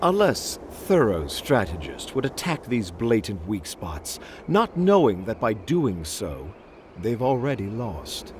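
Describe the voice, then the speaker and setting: A cunning game strategist with a low, very animated voice. He is formal and theatrical, loyal to his lord, and talks deliberately over music. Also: a little hiss or hum. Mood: calculating, assured. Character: shrewd, theatrical military strategist, loyal retainer to a prince. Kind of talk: video game voice acting. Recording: music behind the voice.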